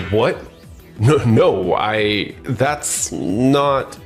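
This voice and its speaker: A man playing a self-serving, scheming office worker in an anime-style dub, excitable and easily flustered. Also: medium pitch, moderate pace. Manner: stammers when cornered, excitable. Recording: music bed behind the voice.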